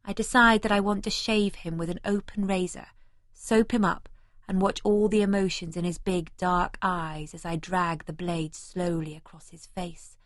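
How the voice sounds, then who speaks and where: A woman's voice: on the low side, steady tone, moderate pace, dry recording. Woman narrating a novel, close and dry. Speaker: audiobook narrator. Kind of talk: first-person scripted narration. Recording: remastered audiobook, close and dry.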